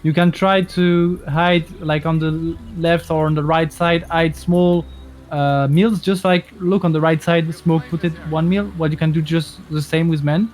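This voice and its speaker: A man co-casting a strategy game, explaining tactics in halting sentences with many restarts. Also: on the high side, level tone, a little mellow. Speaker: co-caster. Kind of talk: game analysis commentary. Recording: music playing behind.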